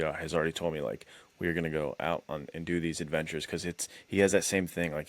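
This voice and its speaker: An American man co-hosting a podcast, chatting casually in halting, slangy phrases peppered with "like". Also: low voice. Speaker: podcast co-host. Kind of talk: casual chat.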